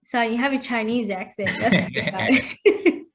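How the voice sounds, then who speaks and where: Teasing woman co-hosting a casual podcast. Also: a little high. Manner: teasing, quick reactions and playful questions. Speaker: podcast co-host. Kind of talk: casual chat.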